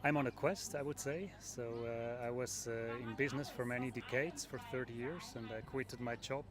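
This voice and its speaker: A middle-aged man from Switzerland answering a street interview outdoors, reflective and halting, with many fillers. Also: medium pitch, fairly even intonation. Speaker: festival participant. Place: outdoor festival square. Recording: crowd noise outdoors.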